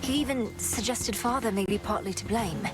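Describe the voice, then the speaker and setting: A woman voicing an earnest, resolute young witch princess in a game, speaking in a measured, quiet way over game music. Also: fairly high pitch, close to an everyday voice. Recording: game dialogue with music behind.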